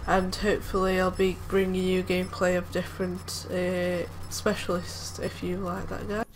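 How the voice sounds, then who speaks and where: A woman talking casually over gameplay, soft-spoken and hesitant, with lots of ums and groans. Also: lowish voice, completely flat delivery, normal speed. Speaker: gameplay commentator. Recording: loud background noise from the game. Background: game sounds.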